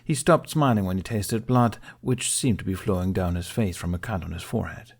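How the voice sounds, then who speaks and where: Man, lowish voice, audiobook narrator, scripted fiction. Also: lively intonation, brisk, gravelly.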